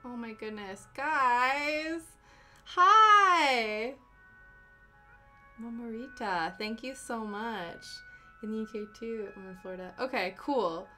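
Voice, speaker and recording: A woman's voice: fairly high pitch, lively intonation, slow, sharp tone. A young woman from Canada chatting casually with viewers on a live stream, answering chat with giggly asides. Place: home, living in the UK. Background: faint background music.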